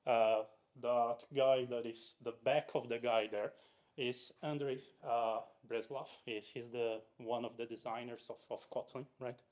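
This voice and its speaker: A man's voice: mid-range voice, steady tone, a little slow, a little mellow, muffled recording. A man from Brazil giving a casual technical talk to a live audience, chatty and full of fillers and restarts, often asking the crowd questions. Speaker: conference speaker. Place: meetup room in front of an audience.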